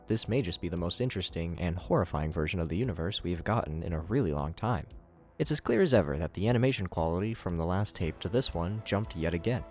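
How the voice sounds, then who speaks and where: A man's voice: deep voice, darkish tone. A man giving scripted video commentary in a casual, opinionated, enthusiastic way.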